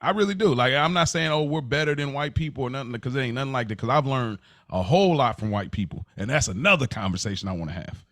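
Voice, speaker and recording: A man's voice: mid-range voice, lively intonation, fast talker, hardly any pauses, a bit bright, no room sound, clean studio sound. An American man co-hosting a podcast, talking casually and earnestly in informal, slangy language. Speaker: podcast co-host.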